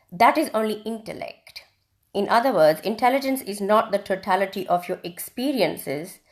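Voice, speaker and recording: A woman from India teaching on a live video stream in a reflective, casual way, with frequent ums and restarts. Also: medium pitch. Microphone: phone or webcam on a live video stream.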